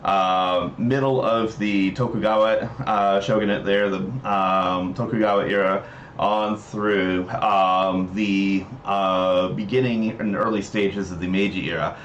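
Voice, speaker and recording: Low-voiced man in a roomy, echoing space. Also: fairly even intonation, a little slow, a bit bright. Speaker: livestream host. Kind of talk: casual explanatory livestream talk. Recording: roomy echo, dull mic, noisy background.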